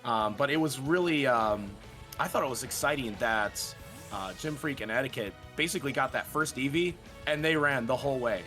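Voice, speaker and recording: A man's voice: mid-range voice, moderate pace, pushed and bright, faint music behind. A man hosting a livestreamed speedrun event, projecting like a broadcaster while fighting off a sickness. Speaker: host and commentator of a speedrun event. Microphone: own microphone at a desk.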